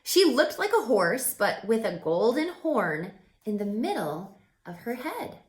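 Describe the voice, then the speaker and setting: A woman reading a children's picture book aloud as a teacher, expressively, pausing to put questions to young listeners. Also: fairly high pitch, sing-song, roomy sound.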